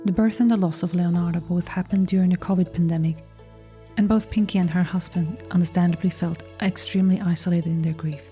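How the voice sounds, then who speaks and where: A woman's voice: fairly low pitch, fairly even intonation, brisk, warm tone, soft background music. A woman hosting a podcast, speaking in a scripted introduction and interview style. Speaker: podcast host.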